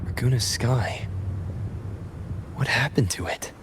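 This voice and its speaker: A man's voice: medium pitch, slow pace, background noise, natural voice. A man voicing a serious, resolute young adventurer in a video game, pensive and earnest. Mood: concerned. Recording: music behind, long hall reverb.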